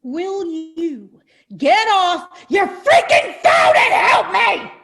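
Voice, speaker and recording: Woman acting bossy fed-up community theater lady, really high voice, slow scolding delivery, web comedy. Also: lively intonation, vocal fry, fairly bright tone, shouting, exaggerated voice. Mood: furious. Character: exasperated bossy woman running an amateur theater production.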